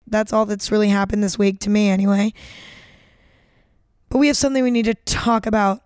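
A woman of nineteen hosting a casual solo podcast, chatty and rambling, a little hoarse with cracking voice. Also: mid-range voice, fairly even intonation, brisk, a little mellow, dry recording, clean studio sound. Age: late teens. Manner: chatty, rambling, self-deprecating. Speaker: podcast host.

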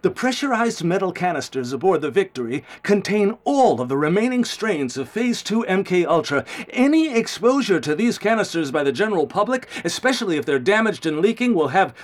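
An actor playing a grave, guilt-ridden male scientist in a radio drama, speaking formally with ominous, measured phrasing. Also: sits high, very animated. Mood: ominous warning.

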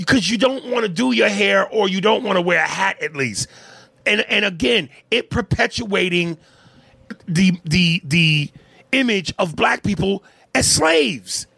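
A Canadian man with a gravelly voice talks bluntly and swears freely. He co-hosts a loose talk show, reading out listener comments and pushing back hard. His voice climbs into loud, emphatic bursts when he makes a point. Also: high-pitched, expressive, measured pace, no echo. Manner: blunt and profane, rising into loud emphatic rants. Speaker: podcast co-host.

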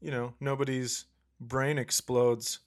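Male host, Minnesota-raised, medium pitch, casual banter, dry teasing delivery. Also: flat delivery, takes it slowly, very noisy. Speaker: podcast host.

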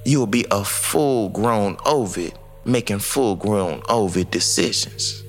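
A man playing a formal, theatrical mentor and ceremony commentator in a sci-fi audio drama, with a grand, measured announcer manner. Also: fairly low pitch, expressive, faint music behind. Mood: instructive.

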